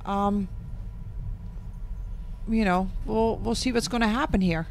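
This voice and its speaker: A woman's voice: medium pitch, takes it slowly, a little hiss or hum. A woman with a Dutch accent commentating on tennis, hesitant and full of ums. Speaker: guest commentator. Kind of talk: sports commentary.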